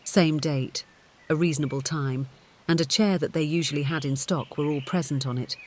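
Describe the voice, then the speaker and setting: A man's voice: fairly high pitch, steady tone, moderate pace. A man reading scripted audiobook fiction as its narrator.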